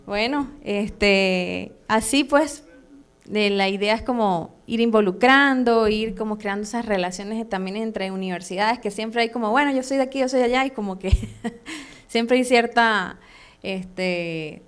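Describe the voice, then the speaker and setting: A Venezuelan woman with a Venezuelan accent teaching a church training class live, chatty and hesitant with many fillers and soft laughs. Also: on the high side, clear, resonant tone. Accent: Venezuelan Spanish. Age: adult, has a son. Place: church meeting room.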